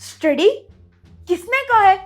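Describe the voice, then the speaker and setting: A woman's voice: extremely high pitch, expressive, takes it slowly, soft background music, full character voice. A woman playing a cheeky, lazy schoolboy son in a comic family skit, with a bossy, mischievous manner. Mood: defensive.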